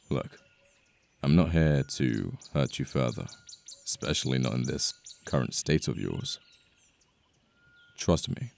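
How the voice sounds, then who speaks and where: A man acting a possessive yet protective mafia boss in an ASMR romance roleplay, with a British accent, a deep gravelly voice and an intimate manner full of sighs and pauses. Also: expressive, darkish tone, full character voice. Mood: concerned, coaxing. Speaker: voice actor. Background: birdsong, outdoor ambience effects.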